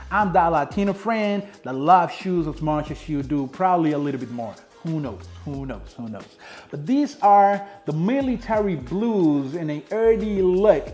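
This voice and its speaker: A man's voice: on the high side, a little mellow. A young man from the Dominican Republic, a native Spanish speaker with a Dominican accent, reviewing sneakers in a casual talk to camera and repeating his points for emphasis.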